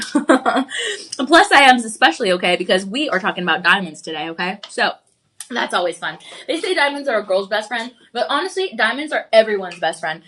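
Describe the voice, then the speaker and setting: Woman, shopping channel host, slightly high voice, congested, chatty live sales pitch, a cough now and then. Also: pushed and bright. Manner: chatty, upbeat, reads viewer comments aloud.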